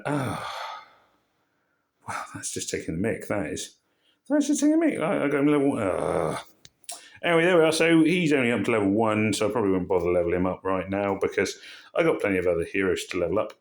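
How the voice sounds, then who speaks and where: A hoarse, croaky man with a cold, giving rambling, weary commentary on a mobile game he is playing. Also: lowish voice. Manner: rambling, weary, self-deprecating. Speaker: game channel host. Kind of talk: casual gameplay commentary.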